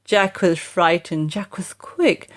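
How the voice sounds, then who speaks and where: Slow, dramatic woman storyteller. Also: on the low side. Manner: deliberate, dramatic. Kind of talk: expressive rhyming children's story narration.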